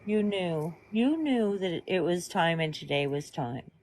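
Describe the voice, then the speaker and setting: A woman comforting a grieving friend on a live stream, soft and reassuring. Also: fairly low pitch, animated, a little slow, a little hiss or hum. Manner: gentle, soothing, reassuring. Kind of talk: casual comforting chat.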